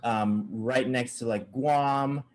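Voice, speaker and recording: A young man from Saipan, a cameraman, talking casually as a guest on a video call, halting with many fillers and restarts. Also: mid-range voice, steady tone, a little mellow.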